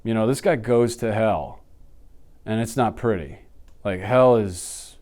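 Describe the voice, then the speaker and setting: A man, chatting casually off the cuff in a slangy, animated manner as an interview guest. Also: fairly low pitch, a little slow. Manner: animated, slangy, blurts sound effects. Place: small indoor office, seated.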